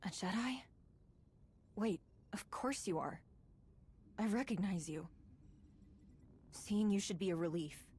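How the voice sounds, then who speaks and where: Wary, husky young Jedi apprentice. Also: female voice, medium pitch, animated, takes it slowly, long pauses, a little mellow, heavily acted. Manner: guarded, defiant. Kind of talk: video game cutscene acting.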